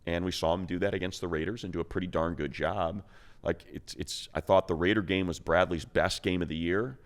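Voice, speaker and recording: A man's voice: sits low, fairly even intonation, fairly quick. A man working as a sports analyst, talking football casually in an emphatic, colloquial way with repeated phrases. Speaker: sports radio announcer and analyst.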